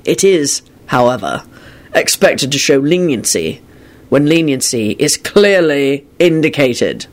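A stern man of authority, voiced by a woman narrator, intoning each phrase with grave, measured dignity. He presides over a court in a fantasy story. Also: low-pitched, sing-song, full character voice. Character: stern, ominous, dignified male court leader.

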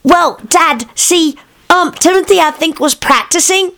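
A woman's voice: very high voice, measured pace, creaky, fairly bright tone, full character voice. A woman voicing a nervous, stammering child in an improvised story scene. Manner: hesitant, stammering. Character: nervous child calling for Dad.

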